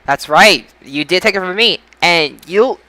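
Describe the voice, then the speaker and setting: A man with a high, bright voice hosts a casual podcast. He reads out results like an announcer, restating figures for effect. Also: lively intonation, a little slow, dry recording. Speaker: podcast host.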